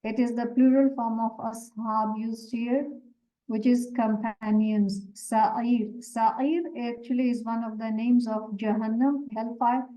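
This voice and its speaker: Slow, measured woman Quran teacher. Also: on the high side, level tone, dark tone, poor audio. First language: Urdu. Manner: measured explaining with frequent fillers. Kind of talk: explaining verse meanings in a lesson.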